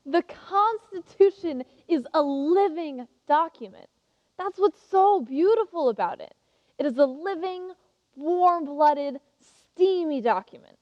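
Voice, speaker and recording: A woman's voice: high-pitched, expressive, slow pace. A woman playing an eager, passionate teenage girl giving an earnest, emphatic contest speech in a theatrical monologue. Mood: fervent. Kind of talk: theatrical monologue as prepared oration. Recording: muffled, reverberant hall recording.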